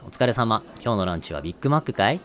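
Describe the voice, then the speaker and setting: A man's voice: lowish voice, sing-song, very fast, fairly bright tone. A man playing a friendly, pushy male marketer in an amateur radio drama, asking questions like a salesman. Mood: friendly, persuasive. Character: Mark, cheerful pushy male marketer chatting up a colleague.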